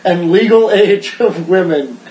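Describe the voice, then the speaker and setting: A man's voice: sits high, animated, unhurried, darkish tone. A man in his thirties, a podcast guest, talking with wry humor and frequent laughs. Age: 30s. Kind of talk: casual chat. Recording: echoey room, faint noise.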